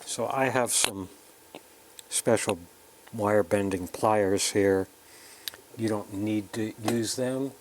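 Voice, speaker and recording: A man's voice: on the low side, steady tone, slow pace, long pauses, background noise. A man narrating a casual hands-on electronics tutorial, plainly explaining each step as he works. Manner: plain, explanatory, talking through each step while working. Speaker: host of an electronics kit build tutorial.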